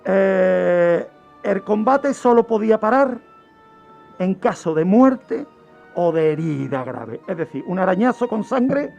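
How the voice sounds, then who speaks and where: High-pitched, slow, creaky man. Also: animated, a little mellow, muffled recording, soft background music. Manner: didactic, poses questions then answers them. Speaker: guest criminologist presenting a true-crime segment. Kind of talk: explanatory radio storytelling.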